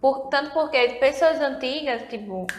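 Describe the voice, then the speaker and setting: Female co-host, conversational and inquisitive, fairly high voice, reverberant room. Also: moderate pace. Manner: conversational, asks questions. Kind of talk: casual chat. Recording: echoey room with long reverb.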